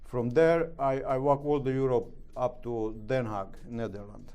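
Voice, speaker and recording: A middle-aged man with a Romanian accent, speaking broken English as an interview guest, halting with many fillers. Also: medium pitch, fairly even intonation, dark tone, clear, resonant tone. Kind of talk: interview answers.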